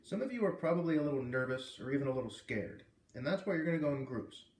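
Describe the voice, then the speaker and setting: A man playing a calm, earnest schoolteacher who explains things patiently to his class in a storybook. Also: mid-range voice, quick pace, reverberant, far from the mic, natural voice. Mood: earnest, serious.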